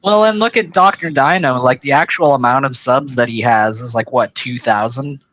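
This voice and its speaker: A man's voice: mid-range voice, moderate pace, fairly bright tone, no echo, muffled recording. A man chatting casually on a group call, joking and self-deprecating. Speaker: small YouTuber on a group call. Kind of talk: casual chat.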